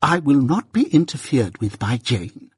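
A haughty mother of genteel pretensions, acted out by a man reading a storybook. The voice is very husky and closely recorded, imperious and easily affronted as it orders the household about. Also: medium pitch, lively intonation, measured pace, no echo, clean studio sound, pitched above the performer's own voice. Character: haughty, indignant gentlewoman mother scolding her household.

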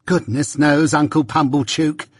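A man voicing a sharp-tongued, grasping married woman with a curt, exclaiming manner in a storybook. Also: on the high side, fairly even intonation, measured pace, husky, dry recording, studio quality, voice pushed up. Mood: grateful.

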